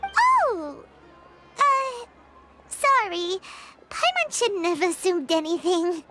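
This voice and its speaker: A tiny, bubbly fairy companion from an animated game. The voice is squeaky and extremely high, bouncing around with lively swings, over prominent background music. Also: female voice, slow pace, exaggerated voice. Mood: apologetic. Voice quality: squeaky, childlike. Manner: bubbly, refers to self in third person. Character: small, excitable childlike female fairy companion. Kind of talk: animated video game dialogue.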